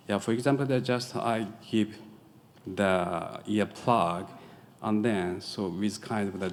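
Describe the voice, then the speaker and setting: A middle-aged man with a Japanese accent gives a conference presentation, haltingly searching for words in English as a non-native speaker. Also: on the low side, darkish tone. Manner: halting, searching for words, frequent filler "yeah". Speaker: professor presenting design research. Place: lecture hall at a podium. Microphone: podium microphone. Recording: echoey hall, audible background noise.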